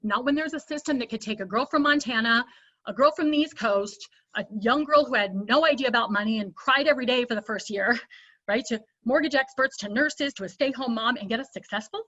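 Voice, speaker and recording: A woman's voice: a little high, fairly quick, sharp tone. A woman giving a persuasive, emphatic motivational business talk over a video call. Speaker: speaker. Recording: dull lo-fi audio.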